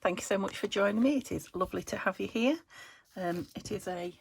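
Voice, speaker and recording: Croaky-voiced woman chatting while crafting. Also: mid-range voice, a little fast, dry recording. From England. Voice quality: gravelly. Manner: chatty, thinks aloud with tag questions. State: croaky from a summer cold. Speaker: craft vlogger. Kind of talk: casual crafting chat. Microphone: phone camera.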